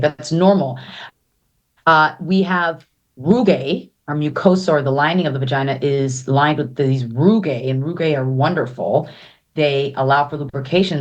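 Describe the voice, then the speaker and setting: A woman urologist speaking as an interview guest, confident and direct, explaining clearly and checking in often. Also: sits low, dull audio. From United States.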